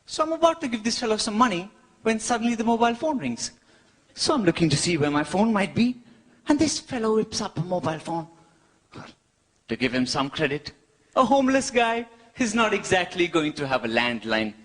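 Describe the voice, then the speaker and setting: A man from India with an Indian accent performing a cheeky, wry stand-up routine, pausing for his punchlines. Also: high voice, very animated, a bit bright. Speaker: stand-up comedian. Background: audience laughter.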